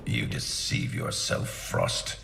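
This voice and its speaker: An actor playing a stern, commanding ninja clan grandmaster in a video game cutscene, speaking in a measured, authoritative way. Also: male voice, lightly acted. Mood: stern rebuke. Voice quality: gravelly, very deep. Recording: loud game soundtrack music behind.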